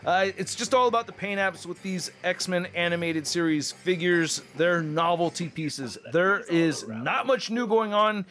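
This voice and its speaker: A man's voice: high-pitched, expressive, a little slow, hardly any pauses, a bit bright. A man giving a casual, chatty, opinionated hands-on review of action figures as the host of a toy channel.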